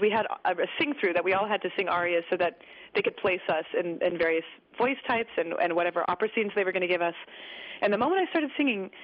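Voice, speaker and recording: A woman guest on a radio interview, rattling off stories at a brisk clip. Her manner is chatty and animated, and she laughs easily. The broadcast sound is a little dull and muffled. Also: fairly low pitch. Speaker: guest opera singer. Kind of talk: radio interview, casual storytelling.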